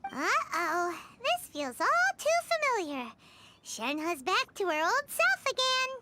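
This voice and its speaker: A woman voicing a small, childlike fairy companion in an anime video game, squeaky, excitable and fretful. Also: takes it slowly, very noisy, exaggerated voice. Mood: worried. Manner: talks of self in third person, exclaiming. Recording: loud background music.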